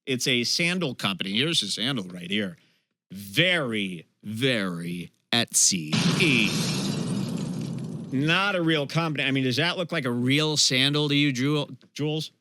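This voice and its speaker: A man's voice: mid-range voice, animated, measured pace, bright, forceful tone, no echo. A man hosting a comedy show, casually commenting on clips in a sarcastic, heckling way. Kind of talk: mocking commentary over clips, casual chat.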